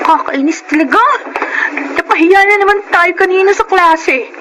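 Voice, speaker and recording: A peevish young Filipina with a high voice. She is acting in a radio drama, over clear noise. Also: normal speed. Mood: annoyed, worried. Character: peevish female high school classmate, later a wife.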